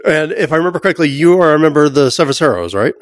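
A man's voice: fairly high pitch, expressive, rapid-fire, dry recording. Male podcast host, close dry sound. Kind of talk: casual podcast interview.

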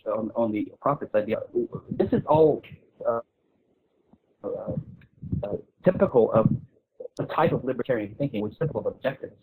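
Man, phone-like thin audio, husky unclear voice, informal debate, halting delivery with repeated words. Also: medium pitch. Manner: halting, repeats words while building arguments. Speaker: guest speaker on a discussion show. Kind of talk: informal intellectual argument. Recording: muffled narrow phone-line sound.

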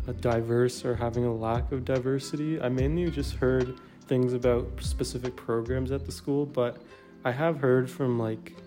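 A man's voice: mid-range voice, dark tone. A young man from Ontario with a Canadian accent, a student guest in a casual podcast discussion. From Burlington, Ontario, Canada. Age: young adult.

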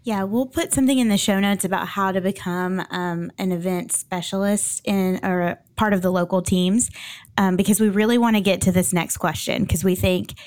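A woman hosting a podcast, friendly and upbeat as she sets up her questions. Her voice is smooth and clear, recorded close and dry with no room sound. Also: medium pitch, fairly even intonation, moderate pace, a little mellow, no echo. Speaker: podcast host.

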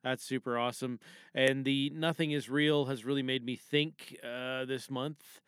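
A middle-aged man talks loosely and casually into a podcast, audibly tipsy and wandering as he goes. His voice is smooth and clear at an ordinary pitch, recorded dry and close with very little room sound. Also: fairly even intonation, a bit bright, no echo. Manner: rambling, halting, loose. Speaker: podcast contributor. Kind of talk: unscripted casual monologue.

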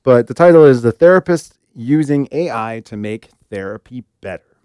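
A man's voice: medium pitch, lively intonation, normal speed, a little mellow, clean tone, no room sound, clean studio sound. A middle-aged man co-hosting a casual podcast, with a wry, joking way of talking. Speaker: podcast co-host.

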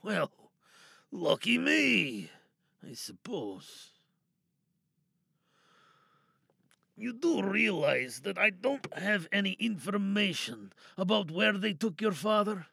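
A man playing a cynical, wary captive scientist in an audio drama monologue, sardonic and probing, bargaining with quiet menace. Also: sits high, big pitch swings, slow, vocal fry, breathy edge, no echo, clean studio sound, heavily acted. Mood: wry, defensive. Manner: sardonic, probing, rhetorical questions.

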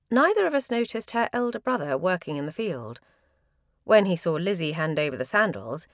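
Expressive woman reading a story, dry sound. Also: fairly low pitch, dry recording. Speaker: short story reader. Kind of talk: expressive scripted first-person narration.

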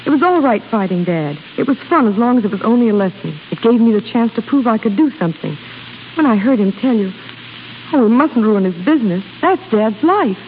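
A woman's voice: fairly high pitch, animated, brisk, close to an everyday voice. An actress playing an earnest, pleading young woman, a captain's daughter, in a 1930s radio drama. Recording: hissy, phone-like 1930s radio broadcast.

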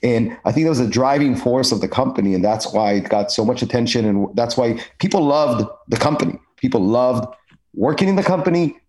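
A man's voice: mid-range voice, expressive, a little fast, a little mellow. A man, a company cofounder, speaking earnestly and reflectively in a panel conversation, with many fillers.

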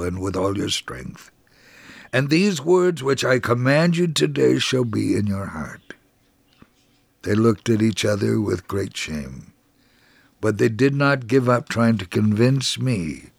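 A man reading a scripted first-person faith story for radio in a measured, solemn, dramatic storytelling manner. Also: medium pitch, vocal fry, slightly rough, no room sound.

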